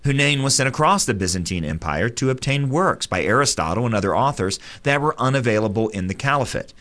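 A man delivering scripted radio commentary in an earnest, steady narrating voice. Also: mid-range voice, fairly quick.

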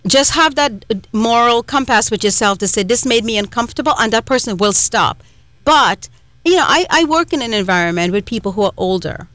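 A woman's voice: fairly high pitch, animated, a little fast, no echo. A middle-aged woman from Trinidad with a Trinidadian accent, co-hosting a radio talk show in a chatty, rambling way with lots of fillers. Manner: chatty, warm storytelling with many fillers and restarts. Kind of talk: casual chat.

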